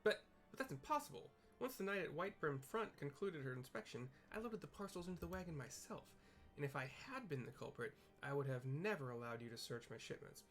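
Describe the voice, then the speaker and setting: A man's voice: a little high, lively intonation, a little fast, a bit bright, faint music behind. A man voicing a proud, indignant porter in a fantasy game, speaking formally and in protest. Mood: shocked, defensive. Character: haughty, affronted male porter, proud and protesting.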